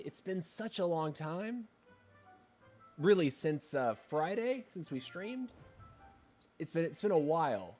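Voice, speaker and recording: A man hosting a game livestream, talking casually while a little congested with a scratchy throat. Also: on the high side. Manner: chatty, relaxed, rambling asides. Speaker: game streamer.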